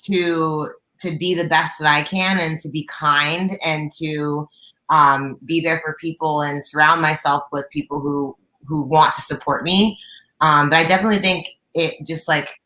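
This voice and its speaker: A young woman with a low, raspy alto voice, a guest singer talking openly on a video call from her living room, with many fillers and restarts. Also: fairly even intonation, unhurried, sharp tone, reverberant, poor audio. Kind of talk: casual interview chat. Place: bright living room at home.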